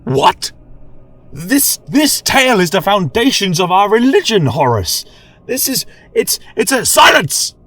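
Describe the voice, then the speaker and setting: A man's voice: high-pitched, big pitch swings, a bit bright, husky, no echo, pitched above the performer's own voice, exaggerated voice. A man voicing a devout preacher in a fantasy audio drama, flustered and stammering as he pleads for his faith. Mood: shocked, pleading. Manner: stammering, agitated, halting.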